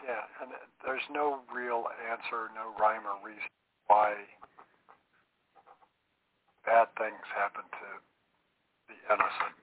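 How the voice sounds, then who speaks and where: A man hosting a paranormal talk show, speaking in a measured, hesitant way with many fillers. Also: lowish voice, fairly even intonation. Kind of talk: casual podcast chat. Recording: tinny phone-like audio.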